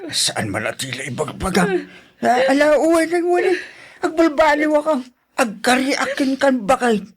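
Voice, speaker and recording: An actor with an Ilocano accent playing a feckless, evasive gambling husband in a radio drama, mumbling and stammering through groans. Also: male voice, very high voice, big pitch swings, moderate pace. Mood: pleading, placating.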